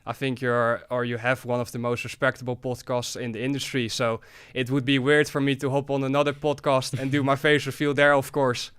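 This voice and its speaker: A man's voice: medium pitch, little pitch movement, brisk, hardly any pauses, fairly bright tone, clean tone. An 18-year-old man with a Dutch accent, a podcast guest explaining his path in earnest, casual talk with frequent "uh" fillers. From Netherlands. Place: podcast studio in Dubai.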